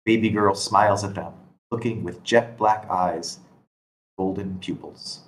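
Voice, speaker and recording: A man's voice: deep voice, fairly even intonation, unhurried, rough recording. A man reading scripted stage directions as narrator at a screenplay table read. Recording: constant background noise.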